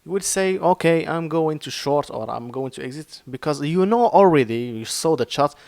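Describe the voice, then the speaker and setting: A man's voice: on the high side, animated, gravelly, a little mellow, no room sound. Man with a Moroccan accent teaching. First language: Arabic. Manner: earnest, halting, with many fillers and restarts. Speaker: crypto trading teacher. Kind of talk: informal screen-share lesson.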